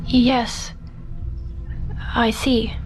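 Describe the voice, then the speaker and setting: A woman playing a timid young girl in an audio drama, haltingly recounting her nightmares in a hushed, haunted way. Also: fairly high pitch, flat delivery, music in the background. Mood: entranced, uneasy. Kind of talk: audio drama acting, slow dreamy narration.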